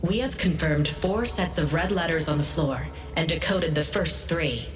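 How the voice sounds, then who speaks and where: A woman playing a composed intelligence analyst in a video game, giving briefings in a measured, serious, informative way. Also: low voice, close to an everyday voice. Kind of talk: scripted game dialogue. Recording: echoey hall, distant, faint music behind.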